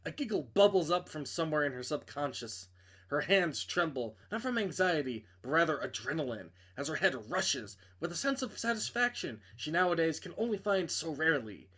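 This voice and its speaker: A man's voice: a little high, sing-song, normal speed, a bit bright, slightly rough. A man reading a visual novel aloud as a streamer, narrating dramatically and breaking off into casual, joking asides to correct himself.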